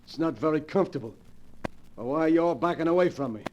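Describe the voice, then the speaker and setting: A man's voice: a little high, expressive, brisk, a little mellow, highs cut off, natural voice. An actor playing an arrogant, menacing gangster boss in 1940s radio crime melodrama, with tough-guy slang and a commanding manner. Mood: smug, menacing. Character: arrogant, menacing male gangster boasting he cannot be killed. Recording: noisy vintage 1940s radio recording.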